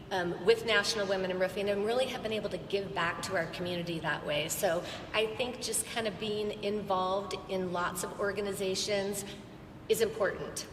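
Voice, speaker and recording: A middle-aged woman with an American accent talks informally on a panel. Her medium-pitched voice rings through a big hall, slightly distant, with clear noise and low rumble behind it. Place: large event hall. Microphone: event panel microphone.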